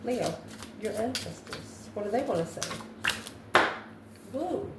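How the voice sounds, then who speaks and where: A woman giving an intuitive tarot reading to viewers, musing aloud in short, halting phrases. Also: mid-range voice, lively intonation. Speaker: tarot reader, host. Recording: roomy echo, distant mic, background noise.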